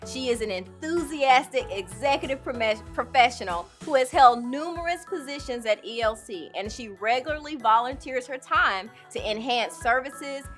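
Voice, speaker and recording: A woman's voice: sits high, expressive, moderate pace, hardly any pauses, fairly bright tone, soft background music. A woman co-hosting an awards program, reading scripted introductions of honorees in a formal, careful way.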